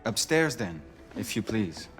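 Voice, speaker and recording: An actor playing an unflappable French marquis in a game, courteous and wry, with a French accent. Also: male voice, on the low side, big pitch swings, measured pace, over music. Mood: suave, wry. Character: suave, unflappable French marquis, playful gentleman fighter. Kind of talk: cinematic game acting.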